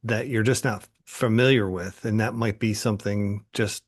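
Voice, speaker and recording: Male podcast host, lowish voice, relaxed chat, stops and starts with fillers. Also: moderate pace. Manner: hesitant, with many ums and uhs.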